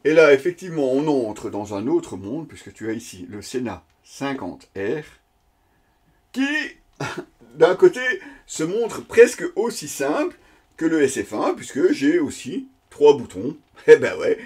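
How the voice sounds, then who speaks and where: A man's voice: medium pitch, sing-song, unhurried, darkish tone, breathy edge. Casual man presenting an unboxing. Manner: hesitant, improvised, conversational delivery. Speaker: product reviewer presenting an unboxing.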